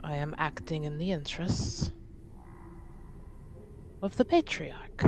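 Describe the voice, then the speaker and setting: Woman playing a weary, slow-spoken noblewoman. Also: deep voice, expressive, darkish tone, a little hiss or hum, full character voice. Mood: formal, guarded. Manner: measured, guarded, exasperated. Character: weary, formal noblewoman acting for her house.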